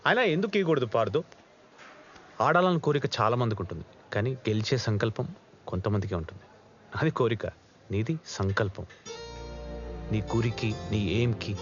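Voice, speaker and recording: A man's voice: mid-range voice, close to an everyday voice. An actor playing a generous, encouraging young archer in a film drama, speaking earnestly and switching into English phrases. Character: generous, encouraging young archer who gave up his place. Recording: dull film audio with music.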